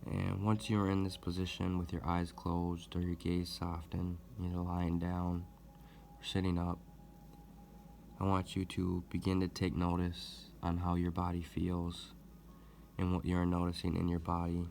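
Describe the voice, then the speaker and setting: A man leading a guided meditation as host, speaking gently and deliberately with long pauses. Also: sits low, completely flat delivery, gravelly, a little hiss or hum. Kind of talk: guided meditation, soothing instruction.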